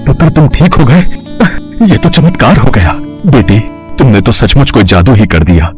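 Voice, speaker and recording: A man's voice: mid-range voice, a little mellow, lightly acted. A man playing a formal, regal king and father in a moral storybook tale. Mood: amazed, grateful. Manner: formal, regal, speaks as royal "we". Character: worried, grateful, stern old-fashioned king and father. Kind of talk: Hindi moral storybook acting.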